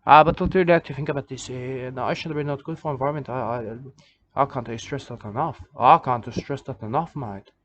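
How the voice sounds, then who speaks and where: A man playing an odd male preacher of a nudist church, who talks in halting broken English and keeps repeating himself. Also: mid-range voice, lightly acted. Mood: rambling. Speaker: self-styled church leader. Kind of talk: rambling comic monologue. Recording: dull lo-fi recording.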